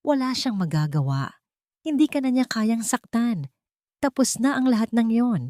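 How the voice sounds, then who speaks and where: A woman's voice: on the high side, animated, normal speed, dark tone, no echo, clean studio sound, pitched above the performer's own voice, lightly acted. A woman narrator voicing a wary, money-minded older mother who schemes in a story. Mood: reassuring. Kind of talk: dramatic storybook dialogue.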